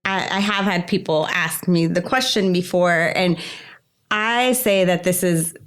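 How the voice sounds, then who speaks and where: A woman's voice: on the low side, a little slow, clean tone. A woman hosting a podcast interview, talking in an informal, personal, conversational way. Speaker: podcast host.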